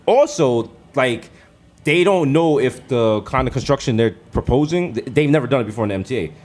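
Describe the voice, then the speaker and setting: Lively riffing New man. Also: mid-range voice, brisk. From the Bronx, New York. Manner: riffing, does mock voices of officials. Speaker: podcast co-host. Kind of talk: casual comedic chat.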